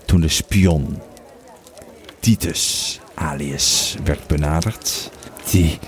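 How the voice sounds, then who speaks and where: Guy acting as a sad roman soldier telling his story, super low voice, slow n halting, crowd noise behind. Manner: halting, reflective storytelling with searching pauses. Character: grieving, fearful Roman legionary recalling his army days. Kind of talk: audio drama first-person narration.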